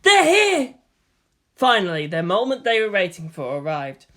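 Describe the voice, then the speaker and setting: A narrator giving an expressive reading of fan fiction, like an audiobook. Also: mid-range voice, fairly quick.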